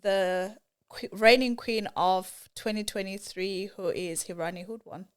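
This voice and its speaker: A woman's voice: mid-range voice, fairly even intonation, a little slow, clear, resonant tone. A young adult woman from Zimbabwe, a podcast guest chatting casually and telling stories with easy laughs and fillers. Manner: warm storytelling with frequent laughs and fillers. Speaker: podcast guest, pageant titleholder. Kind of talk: casual interview conversation.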